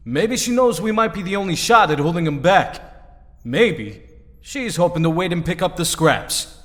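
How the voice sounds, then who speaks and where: A man playing an earnest, excitable young team hero in an audio drama. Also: high voice, moderate pace. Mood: thoughtful. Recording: long hall reverb.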